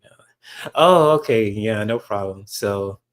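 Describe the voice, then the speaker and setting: Soft-spoken man rambling over a clip. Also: fairly low pitch, expressive, takes it slowly. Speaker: podcast host reacting to a clip. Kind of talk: casual reaction commentary.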